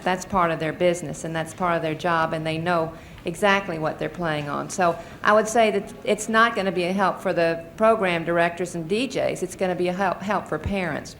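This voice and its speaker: A woman's voice: on the low side, creaky. A middle-aged American woman giving earnest, explanatory testimony as a witness at a formal hearing. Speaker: advocacy group witness and mother. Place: Senate committee hearing room. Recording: noisy archival hearing-room recording.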